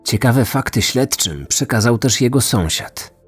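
A man's voice: medium pitch, animated, a little slow, slightly rough. A man hosting a true-crime podcast, reading scripted narration. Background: music.

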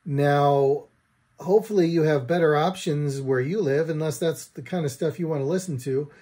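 A middle-aged man with an American accent reviews a product to camera in a dry, wry way. His voice sits a little high for a man, yet it is soft-edged and mellow, with a husky grain. He speaks from a room at home. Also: normal speed. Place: small room at home, indoors.

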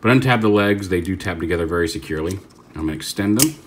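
Man, very deep voice, casual toy review, rambling, self-correcting, faint hiss behind. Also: expressive, quick pace. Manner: rambling, stops to correct himself while handling the toy. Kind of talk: casual hands-on product demo.

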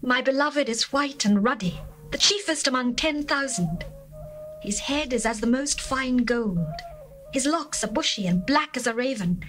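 An actress playing a longing young bride, reading scripture in an earnest, heartfelt way over faint music. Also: female voice, high voice, animated, a little slow, fairly bright tone.

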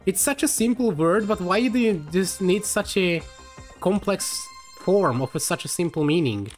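A man's voice: high-pitched, lively intonation, normal speed, soft background music. A young man from Hungary with a Hungarian accent, streaming a game live and chatting in a rambling way with lots of fillers, tired late in the session. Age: young adult.

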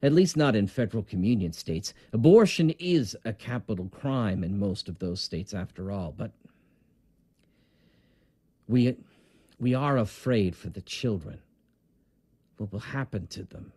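An actor playing a calm, measured, quietly official older man in an audio drama. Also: lowish voice, breathy edge, dry recording, clean studio sound. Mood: concerned, measured.

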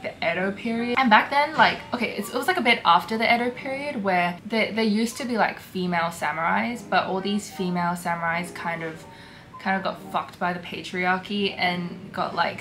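A woman's voice: fairly low pitch, moderate pace. Chatty young woman, music underneath. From Hong Kong. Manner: chatty, many fillers, relaxed and candid. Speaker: concept artist. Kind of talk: casual talk over artwork. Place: small bedroom studio, indoors.

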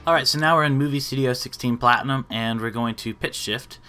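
A man, giving an informal step-by-step software tutorial as the host. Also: mid-range voice, a little fast, soft background music.

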